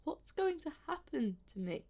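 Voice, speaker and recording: An actress plays a sarcastic woman in an audio drama, wary and lonely yet plucky. Her voice sits fairly high. The delivery is naturalistic and informal, with feeling under the wry edge. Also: very animated, normal speed, darkish tone. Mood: alarmed, frustrated.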